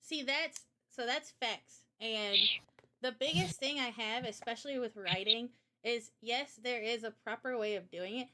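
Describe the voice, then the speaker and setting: Bright high-voiced woman chatting on stream. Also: measured pace. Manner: animated, opinionated, rambling with asides. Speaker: streamer and host. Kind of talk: casual live stream chat.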